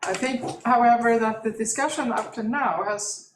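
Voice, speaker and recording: A woman chairing a conference breakout discussion, speaking in a measured, hesitant way with many fillers. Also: fairly high pitch. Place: conference meeting room. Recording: echoey hall, distant mic, background noise.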